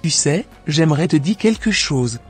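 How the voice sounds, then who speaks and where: A man playing a frank, motherly aunt in an amateur cartoon, husky and measured as he admonishes over music. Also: a little high, lively intonation. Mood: earnest admonishing. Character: motherly aunt, frank moral adviser, female shopkeeper. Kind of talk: amateur animated drama acting. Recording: background music.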